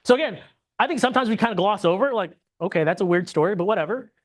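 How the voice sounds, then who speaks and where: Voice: medium pitch, expressive, fast talker. A Bible class teacher from the United States, leading a conversational lesson, often asking "right?" and restarting sentences. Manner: checks in with frequent tag questions; restarts and repeats words mid-sentence.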